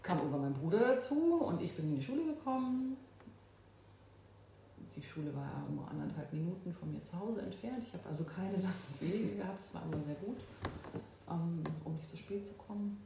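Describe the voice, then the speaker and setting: A woman in her fifties from Berlin, a guest in an interview, who talks thoughtfully with pauses and fillers. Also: lowish voice, animated, vocal fry, background noise. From Berlin, Germany. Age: 50s. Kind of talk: oral history interview. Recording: echoey room.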